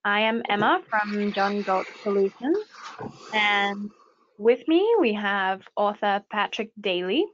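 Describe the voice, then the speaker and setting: A woman's voice: mid-range voice, lively intonation, slow pace, slight background noise. A woman hosting a webinar, introducing the speaker politely with frequent ums. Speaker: webinar host. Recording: dry, dull lo-fi webinar audio.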